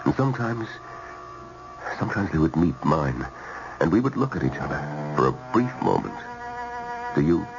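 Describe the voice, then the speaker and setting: Very deep, gravelly American man, earnest. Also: exaggerated voice. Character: earnest, modest married businessman with civic ideals. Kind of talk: radio drama acting. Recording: muffled vintage radio with music.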